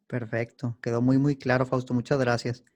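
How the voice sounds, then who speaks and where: A man's voice: mid-range voice, flat delivery, moderate pace, darkish tone, muffled recording. A man with a Mexican Spanish accent hosting an interview, asking questions and summing up the answers. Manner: curious, sums up answers back. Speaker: host interviewer.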